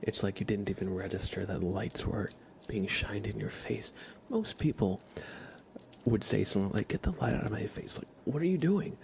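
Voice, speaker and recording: A man streaming live ASMR, speaking softly and often in a whisper, with a playful, deadpan roleplay manner. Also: fairly low pitch, moderate pace, gravelly, background noise.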